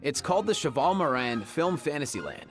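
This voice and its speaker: Man, fairly high voice, very expressive intonation, game program co-presenter, scripted banter, music underneath. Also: fairly quick.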